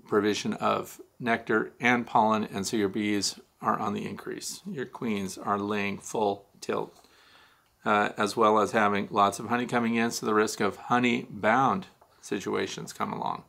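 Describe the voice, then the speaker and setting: A man's voice: on the low side, creaky, fairly bright tone. A man in his sixties hosting a beekeeping question show, explaining step by step and answering his own questions. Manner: explanatory, poses questions then answers them. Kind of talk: casual instructional talk to camera. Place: home studio with neon sign, indoors.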